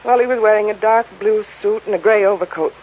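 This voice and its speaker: A woman's voice: medium pitch, fast, lightly acted. A woman playing an anxious, guarded young client in an old-time radio crime drama, speaking politely and hesitantly. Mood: anxious, earnest. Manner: polite, formal, hesitant, earnest. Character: anxious, refined, guarded young woman seeking her missing brother. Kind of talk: 1940s-50s radio drama acting. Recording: tinny, noisy old radio recording.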